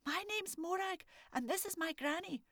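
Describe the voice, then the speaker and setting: A woman's voice: very high voice, normal speed, fairly bright tone, no echo, studio quality, pitched above the performer's own voice. A woman voicing a scared, eager little girl in a storybook.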